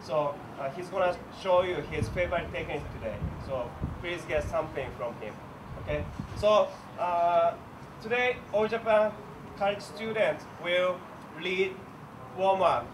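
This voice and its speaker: Man, higher voice, halting english with fillers, interpreting, far from mic, noisy dojo. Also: animated. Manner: halting, many "uh" fillers, non-native English grammar. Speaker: clinic organizer and interpreter. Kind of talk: announcing and interpreting a judo clinic. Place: large indoor dojo. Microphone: far camera. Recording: distant voice in noisy room.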